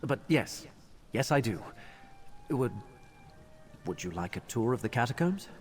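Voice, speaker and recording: A man's voice: medium pitch, very animated, a little slow, faint music behind. A man voicing a polite, eager catacomb curator in a fantasy video game, courteous and slightly hesitant.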